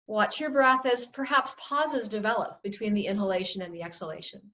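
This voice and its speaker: A woman instructor calmly guiding gentle yoga and relaxation in an unhurried, soothing way. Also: medium pitch. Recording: roomy, distant, slightly muffled class recording.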